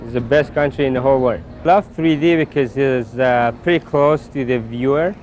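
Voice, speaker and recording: A man from Iran, an artist talking about his work haltingly with fillers. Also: mid-range voice, a little mellow, a little hiss or hum. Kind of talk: interview about his art.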